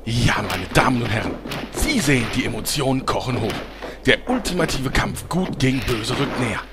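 An actor playing an excitable broadcast commentator, dramatic and announcer-like, in a parody audio play. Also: male voice, mid-range voice, moderate pace, very noisy, lightly acted. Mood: dramatic reporting.

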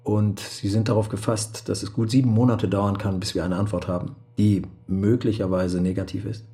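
An actor playing a plump, businesslike lawyer in a radio drama, speaking in a measured, matter-of-fact way. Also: male voice, fairly low pitch, little pitch movement, warm tone, close to an everyday voice.

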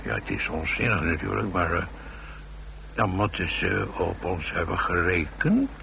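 An actor playing a shrewd, folksy safecracker in a radio drama, with a gravelly voice and a halting, colloquial, deferential way of talking. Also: male voice, low-pitched, sing-song, pushed and bright, full character voice. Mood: puzzled, dawning insight. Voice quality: gravelly, creaky voice. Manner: halting, colloquial, full of tag questions. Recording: noisy old radio drama recording.

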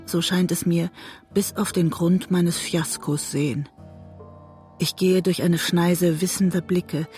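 An actress playing a guilt-ridden, disillusioned wife and writer, narrating introspectively in a literary radio drama. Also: female voice, lowish voice, dark tone. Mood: ashamed, bitter. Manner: introspective, measured storytelling. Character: disillusioned, guilt-ridden woman writer trapped in a bitter marriage.

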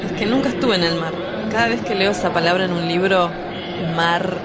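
A woman's voice: on the low side, a little fast, a loud crowd, close to an everyday voice. A woman with an Argentine accent playing a cheeky, crude, carefree young man, blunt and teasing, in a dramatized comic reading. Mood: dreamy. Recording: clearly noisy room recording.

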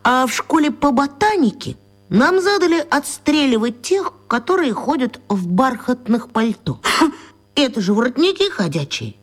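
A woman's voice: sits high, big pitch swings, moderate pace. A woman voicing a plain-spoken, cheeky and slightly awkward young schoolboy in a children's radio play. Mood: cool, mocking.

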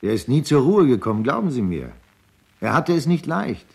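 A man's voice: medium pitch, very animated, normal speed, creaky, darkish tone, breathy edge, full character voice. An actor playing a loyal war friend who defends his buddy in radio play testimony. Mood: earnest, defending.

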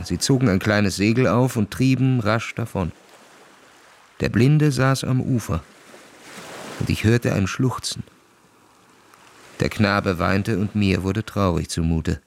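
A man narrating a literary radio play in a measured, dramatic storytelling manner, acting out the quoted dialogue. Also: lowish voice, vocal fry.

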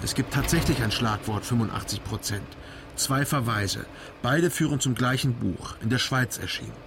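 A man's voice: medium pitch, moderate pace, fairly bright tone, lightly acted. An actor playing a terse male investigator in a radio crime drama, speaking in a dry, precise, understated manner. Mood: explanatory. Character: terse, factual male investigator on a car trip. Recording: clear car and road noise. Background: car, outdoor vehicle noise.

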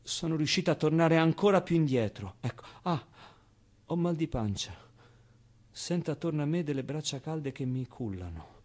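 An actor playing a naive, foul-mouthed, wistful orphan boy in an expressive theatrical monologue, with hesitant repetitions and pauses. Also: fairly high pitch. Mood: anguished, straining. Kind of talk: theatrical monologue, storytelling to an audience.

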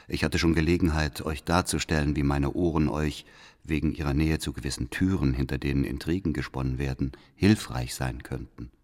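Super deep scheming informant, formal letter monologue in a history radio play, huge reverb. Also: male voice, darkish tone. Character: male secret informant reading his letter, reverent, scheming. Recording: long cavernous reverb.

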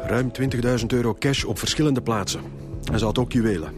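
An actor with a Flemish accent playing an insistent police officer in a radio drama. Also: male voice, medium pitch, quick pace, over loud music, natural voice.

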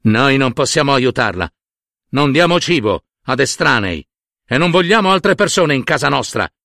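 A man's voice: medium pitch, very animated, moderate pace, gravelly, pushed and bright, no echo, clean studio sound, exaggerated voice. A man voicing a cruel, callous husband in a fairy tale, speaking in a cold, curt, emphatic manner. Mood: cold refusal.

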